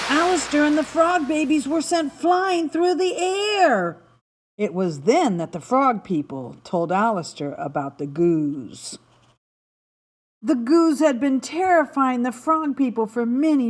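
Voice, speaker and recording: A woman narrating a children's story in an expressive, dramatic way, stretching words for emphasis. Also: fairly high pitch. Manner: animated, dramatic delivery with emphatic word stretching. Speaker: storybook reader.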